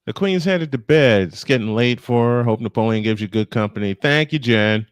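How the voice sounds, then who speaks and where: Male play-by-play host, medium pitch, dry sound, conversational live sports commentary with banter. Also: expressive, fairly quick, clean tone, no room sound.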